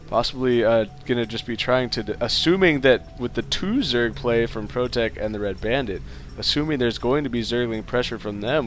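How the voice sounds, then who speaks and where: A man with a congested, stuffed-up voice calls a strategy match on his own, chatty and loose with lots of "uh"s. His pitch sits right in the middle for a man, and his play-by-play runs on in quick bursts of commentary. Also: slight background noise. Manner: casual play-by-play, many fillers, rising excitement in fights. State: stuffed-up nose. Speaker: esports caster. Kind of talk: solo game commentary.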